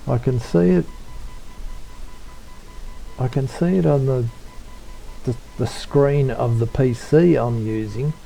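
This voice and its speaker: Man, unhurried pace, soft dark tone, low-key hesitant delivery, informal tutorial voice-over. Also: creaky, faint music behind, low-quality recording. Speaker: tutorial presenter, radio hobbyist. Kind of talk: unscripted software tutorial voice-over.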